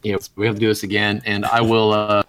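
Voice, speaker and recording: A man hosting a podcast in relaxed, casual conversation. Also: fairly low pitch, fairly quick, slight background noise. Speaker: podcast host.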